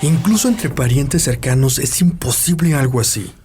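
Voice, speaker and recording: An actor playing a sly, gloating hospital nurse who blackmails with a smug, mocking manner in a dramatized audiobook. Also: male voice, mid-range voice, lively intonation, normal speed, darkish tone, natural voice. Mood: confident accusation. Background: background music.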